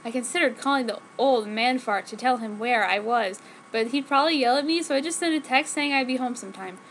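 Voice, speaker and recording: A high-pitched woman reads amateur fiction aloud in a mock-serious, theatrical way. Her delivery is exaggerated and dramatic. There is some noise behind her on the recording. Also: brisk, a bit bright, low-quality recording. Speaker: amateur fanfiction reader. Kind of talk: exaggerated dramatic story reading. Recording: noticeable background noise.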